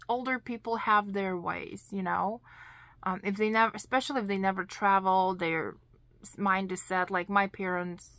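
Slow-talking woman with a Lithuanian accent. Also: medium pitch, bright, forceful tone, dry recording. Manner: chatty, slow and deliberate, with frequent "you know" and "like". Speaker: vlogger. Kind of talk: casual storytelling to camera. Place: small indoor craft room.

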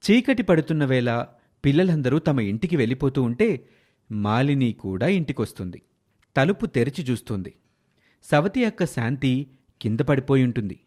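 Casting: male narrator for moral tales, medium pitch, very animated, dramatic delivery. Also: normal speed, long pauses. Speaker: storyteller. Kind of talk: scripted moral story narration.

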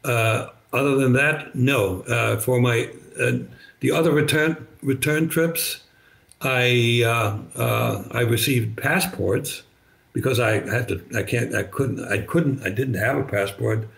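An older man with a slight German accent, German native, telling his story as a podcast guest over a video call, halting with many restarts. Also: mid-range voice, vocal fry, a bit bright. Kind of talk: conversational storytelling. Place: bright living room, indoors. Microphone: video call webcam.

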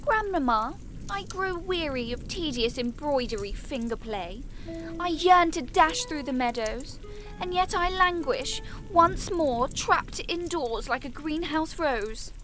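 A woman's voice: sits high, expressive, unhurried, music in the background. A woman playing a restless, genteel sixteen-year-old girl in a period audio drama, with ornate formal diction. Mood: restless yearning. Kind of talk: audio drama acting and storytelling.

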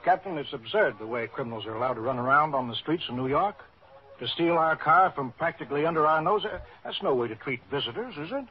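A man's voice: fairly high pitch, expressive, fast talker, natural voice. An actor playing an indignant, self-important male tourist in an old radio drama, fussy and formal. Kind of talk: 1950s radio drama acting. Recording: muffled old radio recording.

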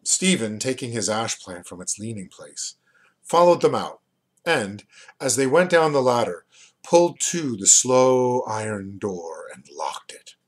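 A man in his fifties reading a novel aloud at home in a deliberate, dramatized way. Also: mid-range voice, sing-song. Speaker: reader, poet and book dealer. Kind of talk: expressive literary reading aloud. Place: small indoor room at home.

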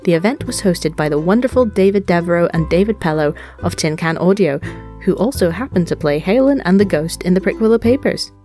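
A woman with a fairly low voice presents a scripted podcast introduction as the show's creator. Faint plucked guitar music plays behind the talk. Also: expressive, brisk, mellow.

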